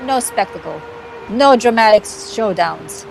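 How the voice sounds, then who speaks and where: A woman playing a scheming, cocky female vampire hacker in an amateur visual novel performance, theatrical and condescending with dramatic pauses. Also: medium pitch, expressive, gravelly, darkish tone, a little hiss or hum, low-quality recording. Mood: theatrical, vengeful. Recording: music behind the voice.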